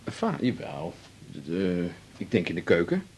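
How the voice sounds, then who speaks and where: A man's voice: low voice, huge pitch swings, moderate pace, darkish tone, a little hiss or hum. A man the playing a polite, slightly awkward helper at home in a radio drama. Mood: awkward, helpful. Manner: hesitant, repeats himself. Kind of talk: naturalistic radio drama dialogue.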